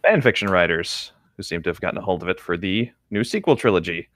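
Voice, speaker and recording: Animated, lowish-voiced man joking around. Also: quick pace, no echo, studio quality. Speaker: podcast co-host. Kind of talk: casual chat.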